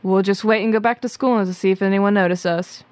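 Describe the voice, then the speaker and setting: Woman as take-charge schoolgirl heroine, blunt and defiant, medium pitch, dry close sound. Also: fairly even intonation, brisk, darkish tone, dry recording, natural voice. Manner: commanding, blunt. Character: bossy, defiant schoolgirl superhero sister.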